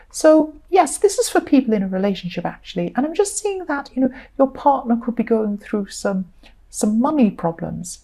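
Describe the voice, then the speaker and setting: Softly croaky woman reading tarot. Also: fairly high pitch. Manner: gentle and reassuring, many "you know" fillers. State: slightly croaky voice. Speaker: tarot reader.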